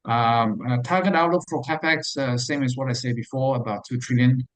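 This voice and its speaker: A man speaking as a company finance executive, answering investor questions in a halting, hesitant way with repeated words. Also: mid-range voice, dry recording, poor audio.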